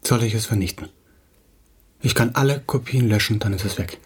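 An actor playing an insecure, agitated young playwright in a radio play, halting and stammering as he repeats himself. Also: on the low side, slightly rough. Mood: bitter, desperate. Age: young adult.

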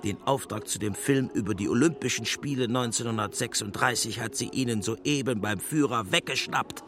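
An actor playing a condescending, menacing Nazi propaganda minister in a German radio play. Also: male voice, mid-range voice, normal speed, close to an everyday voice. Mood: condescending, calculating. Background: music.

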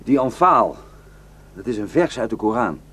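A man's voice: medium pitch, big pitch swings, moderate pace, husky. An actor playing a cynical, taunting Iraqi man in a radio drama, declaiming and mocking. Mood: mocking, lecturing. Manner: mocking, declamatory, swinging from hushed to shouting.

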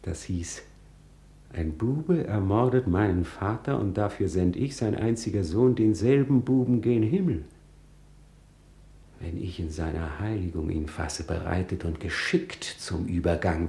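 A slow, brooding, vengeful prince. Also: male voice, deep voice, mellow, reverberant. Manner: deliberate, declamatory monologue delivery. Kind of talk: classical stage tragedy radio acting.

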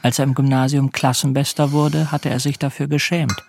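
An actor narrating a radio play crime story in a measured, sombre, literary manner. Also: male voice, medium pitch, level tone, creaky, noisy background, close to an everyday voice. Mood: sombre, reflective.

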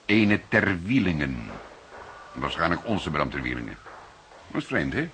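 Deep-voiced unhurried police detective. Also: male voice, sing-song. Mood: calm, wry. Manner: deliberate, dry, fatherly. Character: unhurried, wry, stubborn veteran police detective. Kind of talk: radio drama acting. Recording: noisy archive radio drama recording.

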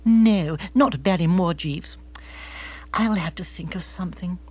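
A man voicing an excitable, breezy upper-class English lady in a storybook, emphatic and slangy. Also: high voice, measured pace, husky, highs cut off. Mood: breezy, curious.

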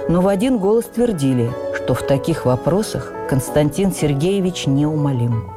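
Low-voiced, expressive memoirist actress. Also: female voice. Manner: measured, expressive storytelling. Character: Russian stage actress recalling her youth in memoirs. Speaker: actress reading a memoir on radio. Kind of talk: dramatised memoir reading for radio. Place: radio studio.

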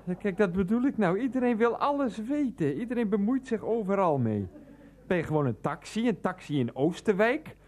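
A man's voice: sits high, very animated, moderate pace, darkish tone. An actor playing a rambling, defiant, grumbling man who likes a drink, muttering to himself in a radio play. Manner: muttering to himself, talks of himself in third person. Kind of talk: Dutch radio play acting.

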